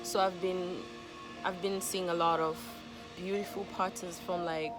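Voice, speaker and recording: A woman from Tanzania, speaking in gentle, pausing phrases. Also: on the low side, little pitch movement, loud music behind the voice.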